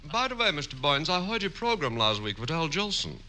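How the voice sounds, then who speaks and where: A man's voice: fairly high pitch, big pitch swings, fairly quick, bright, forceful tone, exaggerated voice. An actor playing a wisecracking male tailor in a 1940s radio comedy, delivering punchlines in a sing-song manner. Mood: cheeky. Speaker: tailor character. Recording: old radio broadcast with hiss.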